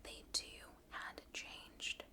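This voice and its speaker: A woman whispering a bedtime story at an unhurried pace to someone drifting off to sleep on an ASMR channel. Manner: hushed, slow, soothing whisper. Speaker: ASMR narrator reading a story aloud.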